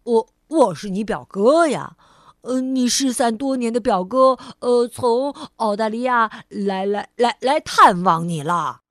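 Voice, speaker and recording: A woman's voice: mid-range voice, takes it slowly, hardly any pauses, no room sound, studio quality. A woman voicing a clumsy, cheerful grown man who stutters and repeats his words, in a children's storybook reading. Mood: bumbling. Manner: stammering, hesitant, repeating words.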